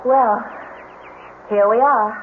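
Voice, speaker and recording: A woman's voice: on the high side, fairly even intonation, slow pace. An actress playing a playful, teasing, adventurous young woman in a radio drama. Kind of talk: 1970s radio drama acting. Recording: tinny phone-like old radio, faint noise.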